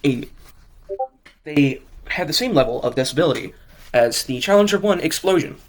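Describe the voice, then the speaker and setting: A man's voice: a little high, lively intonation, normal speed. A man doing an amateur read of fan fiction as narrator, tripping over lines and correcting himself. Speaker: narrator and maker of the audio drama.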